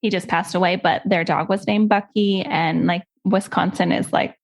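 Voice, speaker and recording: A woman's voice: fairly low pitch, fairly even intonation, moderate pace, vocal fry. A woman with an American accent hosting a podcast interview in a casual, chatty way. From California, United States. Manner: casual, chatty, frequent filler "like". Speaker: podcast host. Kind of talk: upbeat interview and casual chat.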